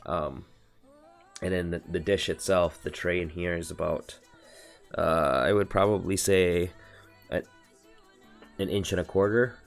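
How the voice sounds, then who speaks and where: A man's voice: low voice, fairly even intonation, a little slow, long pauses, faint music behind. An American man in his thirties, stuffy from allergies, rambling through a casual product review on a home stream with lots of fillers and self-corrections. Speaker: streamer reviewing card game accessories. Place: home desk room.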